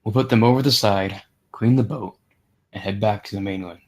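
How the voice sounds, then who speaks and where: A man's voice: on the low side, moderate pace, lightly acted. An actor at a table read playing a grimly practical man covering up deaths at sea. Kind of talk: screenplay table read acting.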